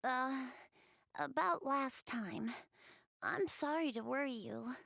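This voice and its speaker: A woman voicing a shy, easily flustered young goddess in an anime video game, speaking softly and hesitantly to the player. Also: high-pitched, creaky, no room sound, studio quality, heavily acted. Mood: shy, hopeful. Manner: hesitant, bashful, halting.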